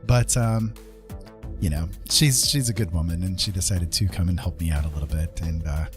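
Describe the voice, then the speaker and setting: A man chatting casually on a livestream as an art streamer, rambling along in a gentle, earnest way. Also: low-pitched, moderate pace, over music.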